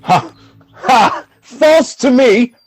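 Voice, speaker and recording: A man playing a jealous, tormented general in a Shakespearean radio drama, husky and declamatory, swinging between hushed brooding and outcry. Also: very high-pitched, lively intonation, very slow, soft background music, exaggerated voice. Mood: anguished rage.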